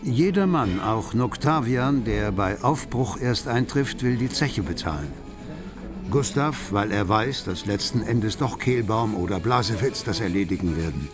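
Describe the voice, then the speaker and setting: A man acting as the wry narrator of a literary radio play about bohemian Berlin, articulate and measured in a declamatory storytelling way. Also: on the low side, expressive. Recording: music behind the voice.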